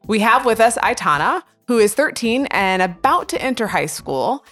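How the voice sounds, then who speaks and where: A woman hosting a podcast interview, asking gentle, measured questions. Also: on the high side. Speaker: podcast host.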